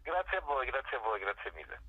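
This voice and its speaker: A man giving a radio interview as a musician guest, explaining things haltingly as he searches for words, over a phone. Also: medium pitch, sing-song. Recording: dull, band-limited phone-interview sound.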